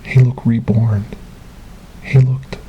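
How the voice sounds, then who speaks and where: Slow, whispery, grieving man acted by a man. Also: mid-range voice, level tone, highs cut off, slight background noise, lightly acted. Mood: grieving, tender. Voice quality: breathy, often whispered. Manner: slow, halting, earnest, soft-spoken. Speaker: ASMR storyteller. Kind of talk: ASMR dramatic monologue. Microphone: close binaural microphone.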